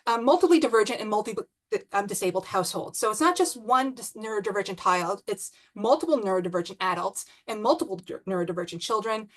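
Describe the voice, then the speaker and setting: A woman with a New Zealand accent giving an online academic presentation on a video call, with many pauses and restarts. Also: medium pitch, fairly bright tone. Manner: hesitant, many ums and restarts. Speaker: conference presenter.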